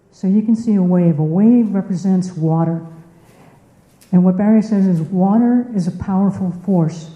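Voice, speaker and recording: A woman's voice: lowish voice, dark tone. A woman giving an informal speech to a club in a meeting room, conversational, putting questions to listeners and repeating words for emphasis, with echoey, muffled sound. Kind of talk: informal presentation to a club audience.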